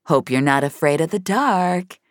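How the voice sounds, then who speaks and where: A woman voicing a tough, sardonic female assassin on the run in an audio drama, calm, dry and wisecracking. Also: lowish voice, moderate pace, dry recording, pitched above the performer's own voice, natural voice. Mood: calm, menacing. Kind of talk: dramatic audio fiction dialogue.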